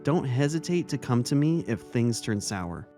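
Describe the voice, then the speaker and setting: A man acting a kindly, caring male vice-principal and mentor in a dramatic story reading, speaking gently and earnestly. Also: mid-range voice, sing-song, normal speed, lightly acted. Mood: reassuring, caring. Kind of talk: dramatic reading of fan fiction. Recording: music under the voice.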